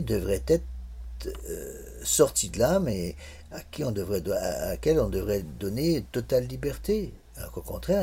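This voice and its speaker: An older man in his sixties lectures a class on religious morals. His husky voice swings widely in pitch. He often stalls and repeats small words several times before pressing on. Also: darkish tone. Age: 60s.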